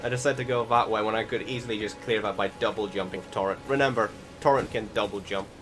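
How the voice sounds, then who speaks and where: Low-voiced man rambling over gameplay, music behind. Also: steady tone. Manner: rambling, halting, often restarting sentences. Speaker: gaming video host. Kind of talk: casual voice-over commentary on recorded gameplay.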